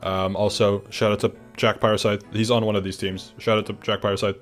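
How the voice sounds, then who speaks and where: A man's voice: fairly low pitch, little pitch movement, fairly quick, faint music behind. A young man who is sick and losing his voice, hosting a casual team announcement in an upbeat, rambling way with lots of fillers. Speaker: event host announcing teams.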